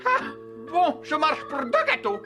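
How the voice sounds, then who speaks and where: High, swooping, vain cartoon show-off. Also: female voice, sing-song, a little slow, music in the background. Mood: excited. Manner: boastful, blustering, exclamatory. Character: vain, excitable cartoon performer, fond of wagers. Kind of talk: French-dubbed children's cartoon.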